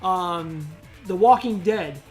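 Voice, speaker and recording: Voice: mid-range voice, expressive, slow. A podcast co-host gives casual, opinionated talk in a mid-range voice. The delivery is emphatic and slangy, with some swearing, and music plays faintly behind it.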